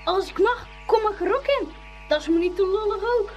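An actor voicing a chattering young schoolboy in a Dutch radio play, lively and full of teasing banter. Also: very high voice, brisk, highs cut off, faint music behind.

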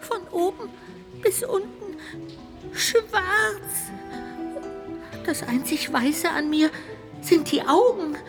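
A little ghost from a children's radio play, childlike and anxious, in a high voice with a creaky edge. It laments slowly and dramatically. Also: female voice, music in the background, full character voice. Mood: horrified. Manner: expressive, exclaiming, drawn-out lamenting delivery.

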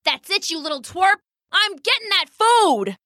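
Woman playing a brash, high-pitched bully bird. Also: very animated, unhurried, sharp tone, no echo, studio quality, full character voice. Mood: angry. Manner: pushy, taunting. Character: brash bullying hungry female pheasant. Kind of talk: children's storybook character voice.

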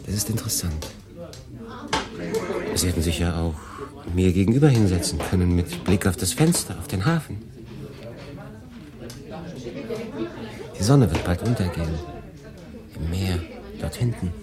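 An actor playing a world-weary German refugee in a wartime radio play, confiding and rambling to a silent listener. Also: male voice, low-pitched, lively intonation, normal speed, pauses often. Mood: quiet, reflective. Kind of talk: radio play acting, conversational monologue. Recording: busy café murmur behind. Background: crowd in a café.

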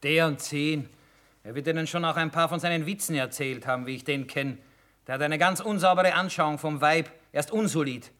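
An actor playing a cocky, needling army cook in a radio drama, argumentative and colloquial. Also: male voice, on the high side, brisk, fairly bright tone, close to an everyday voice. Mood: sly. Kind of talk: German radio drama acting.

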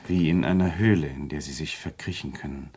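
An actor playing a reserved, wary evening visitor who speaks tersely and guardedly in a German crime radio play. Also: male voice, a bass voice, fairly quick, a little mellow, a little hiss or hum.

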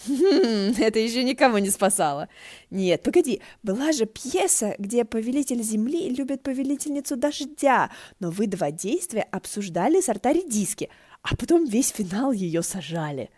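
A woman voicing an indignant, excitable young male wind deity with a theatrical, exclamatory manner in a storybook reading. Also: a little high, moderate pace, pitched above the performer's own voice. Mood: teasing.

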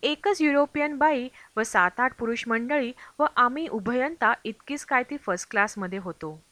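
A woman's voice: on the high side, a bit bright, dry recording. A woman reading a travel memoir aloud as scripted audiobook narration. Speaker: audiobook reader.

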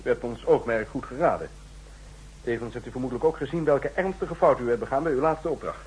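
A man's voice: medium pitch, a little mellow, close to an everyday voice. Guy playing stern dutch spymaster in old radio drama, very formal, sardonic, slight noise. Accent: Netherlandic Dutch. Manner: pompous, formal, deliberate emphasis. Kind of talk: 1960s radio drama acting. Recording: noisy 1960s radio recording.

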